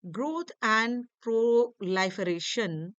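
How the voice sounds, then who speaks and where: A woman reading a scripted academic lecture to learners in halting, deliberate phrases. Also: a little high, fairly bright tone, clean tone, no echo, highs cut off.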